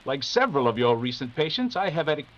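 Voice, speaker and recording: A man playing a wry, self-assured gentleman detective in 1940s radio style. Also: fairly high pitch, sing-song, fast. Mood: wry amusement. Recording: dull audio with faint background noise.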